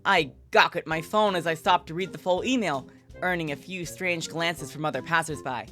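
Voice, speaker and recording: A woman's voice: low voice, normal speed, fairly bright tone. A woman livestreaming a visual novel, reading it aloud and chatting candidly in casual, sweary language. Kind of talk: gaming livestream with read-aloud and casual commentary. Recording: background music under the voice. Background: game music.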